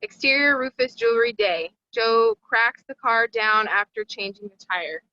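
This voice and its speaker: A woman narrates screenplay directions at a table read. Her voice is fairly high and bright, captured dry with a slightly dull, lo-fi sound. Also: lively intonation, measured pace, no echo.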